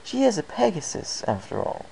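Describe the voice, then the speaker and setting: A performer voicing a refined, caring female pony in a storybook reading, with a genteel and measured manner. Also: mid-range voice, wildly swooping pitch, gravelly, mellow, slight background noise, pitched above the performer's own voice, full character voice. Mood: thoughtful.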